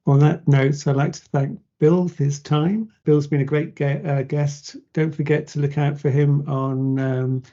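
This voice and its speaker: A man's voice: fairly high pitch, flat delivery, a little slow, hardly any pauses, dark tone. A man hosting a podcast interview, casual and joking in his questions. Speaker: podcast host. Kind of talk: conversational interview. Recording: dull lo-fi sound.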